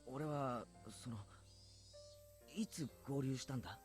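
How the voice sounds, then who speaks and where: A woman's voice: very deep voice, moderate pace, darkish tone, faint music behind. A woman voicing an intense, anxious teenage boy, the protagonist of a Japanese visual novel. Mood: insistent.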